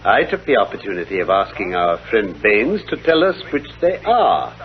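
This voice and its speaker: A man playing a self-assured British gentleman detective in a vintage radio drama, speaking in precise, formal explanations. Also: lowish voice, sing-song, normal speed. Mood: reasoning. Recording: dull, lo-fi vintage radio recording.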